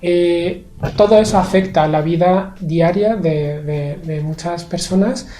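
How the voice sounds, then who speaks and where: A young man from Spain answers interview questions in a measured, hesitant way, pausing often and using fillers. His voice has a mellow, dark tone. The sound bounces around an echoey room. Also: high-pitched. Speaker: interview guest. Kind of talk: thoughtful conversational interview.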